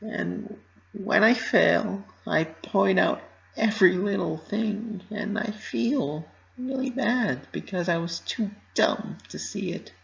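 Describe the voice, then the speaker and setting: Guy doing a high girly pony voice, anxious and guilty, pleading, storybook reading, kinda muffled. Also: takes it slowly, vocal fry, full character voice. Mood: upset, self-blaming. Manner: self-critical, pleading, emotional delivery. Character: anxious, guilt-ridden young female pink pony. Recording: slightly muffled lo-fi recording.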